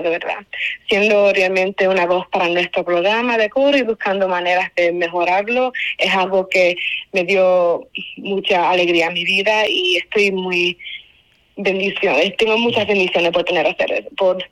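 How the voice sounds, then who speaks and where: Young cuban-accented woman in an interview, medium pitch, earnest and kinda wordy, fixes her words as she goes. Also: fairly bright tone, rough recording. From Spain, raised in a Cuban family. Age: young adult. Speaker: student award winner being interviewed. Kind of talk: informal interview answers.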